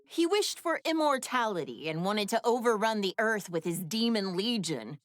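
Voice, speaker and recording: A woman's voice: mid-range voice, sing-song, moderate pace, no room sound, clean studio sound, close to an everyday voice. An actress voicing a clever, sassy young woman scientist in an anime game, with a wry, chatty, slightly mischievous manner. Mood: sassy, teasing. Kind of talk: scripted game voice lines.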